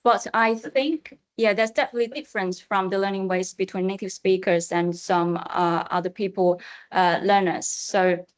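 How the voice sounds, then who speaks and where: A woman's voice: mid-range voice, fairly even intonation, moderate pace. Hesitant woman speaker answering questions. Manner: hesitant, repeats herself. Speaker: conference speaker.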